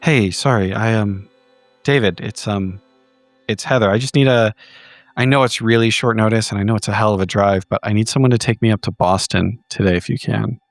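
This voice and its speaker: A man voicing a friendly woman who talks haltingly and casually while asking a favour in a tabletop game. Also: fairly low pitch, no echo, clean studio sound, soft background music, natural voice. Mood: apologetic, hesitant. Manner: halting, casual phone talk.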